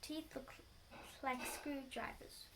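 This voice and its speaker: A woman's voice: sits high, steady tone, slow, very noisy. A woman voicing a nasty, grumpy old husband in a storybook, taunting and exclamatory.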